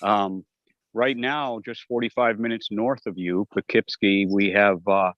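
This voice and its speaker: A man with a lowish voice calls in and speaks in halting bursts, filling gaps with "uh". The audio is dull and lo-fi, with a dry, close sound. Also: fairly even intonation, dry recording. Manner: halting, many "uh" fillers. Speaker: remote caller. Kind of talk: casual call-in remarks.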